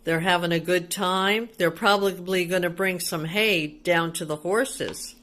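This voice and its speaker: Slow-paced woman reading to little kids. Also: lowish voice, steady tone, fairly bright tone. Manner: child-directed, explaining and asking questions. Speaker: teacher reading a picture book to young children. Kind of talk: read-aloud with simple explanations for small children.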